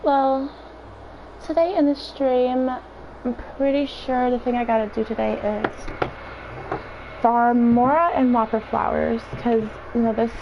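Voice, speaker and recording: A hoarse woman with a raspy voice, chatting and thinking aloud in casual gameplay commentary as she streams. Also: fairly high pitch, fairly even intonation, measured pace. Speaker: game streamer. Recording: dull lo-fi stream audio with faint noise.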